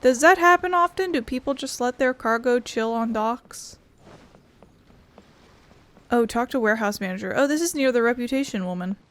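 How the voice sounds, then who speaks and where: A woman's voice: fairly high pitch, normal speed, pauses often, a bit bright. A woman giving casual, chatty commentary as she plays a game, thinking aloud and swearing now and then. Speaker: gaming video host.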